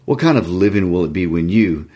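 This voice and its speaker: Man reading as deep-voiced anguished lover. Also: lively intonation, brisk, mellow. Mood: bitter reproach. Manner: intense, despairing, reproachful. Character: anguished, savage young male lover, bitter and passionate. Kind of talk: dramatic reading from a classic novel.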